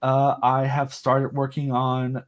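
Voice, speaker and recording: An eager man chatting as a podcast guest. He rambles with enthusiasm, stacking up fillers and false starts as ideas tumble out, and his voice sits at an ordinary middle pitch. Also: monotone, slow pace. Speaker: podcast guest and audio drama creator. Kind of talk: casual conversational chat.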